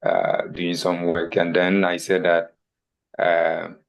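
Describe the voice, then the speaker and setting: A Ghanaian man with a Ghanaian accent, a native Twi speaker, speaks in a very low, flat voice. He is heard over a video call and introduces himself hesitantly, with many pauses and fillers. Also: creaky, lo-fi sound. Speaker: workshop participant.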